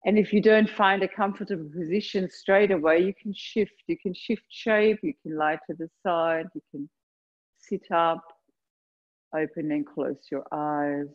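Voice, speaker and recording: A woman leading a guided meditation, speaking gently and unhurriedly in short phrases with long pauses between them. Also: lowish voice, fairly even intonation, lo-fi sound. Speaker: yoga nidra meditation guide. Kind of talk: guided meditation, slow soothing instruction.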